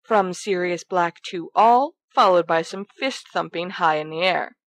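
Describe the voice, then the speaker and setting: A woman reads a story as scripted narration. Her voice is slightly low, and the recording is very dry and close. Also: unhurried, no echo. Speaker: fan fiction reader.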